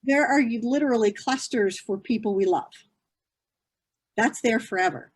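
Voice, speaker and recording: A woman's voice: a little high, moderate pace, breathy edge. Earnest woman in her fifties explaining. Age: 50s. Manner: earnest, explanatory, with frequent fillers and restarts. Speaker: grief therapist. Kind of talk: conversational interview answers.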